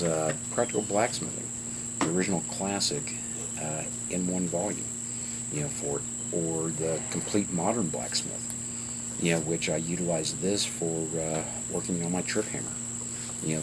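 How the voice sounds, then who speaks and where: A man in his fifties giving an informal, rambling instructional talk to camera, with halting delivery and frequent fillers. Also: deep voice. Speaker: blacksmithing teacher and channel host. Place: outdoors on a house porch. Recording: clearly noisy outdoor recording with insects.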